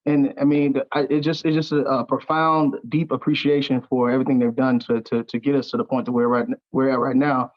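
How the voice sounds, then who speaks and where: A man speaking as a guest in a reflective interview, earnest and halting, often repeating words as he searches for them. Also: medium pitch, flat delivery. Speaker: stage play cast member, interview guest. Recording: slightly dull, lo-fi sound.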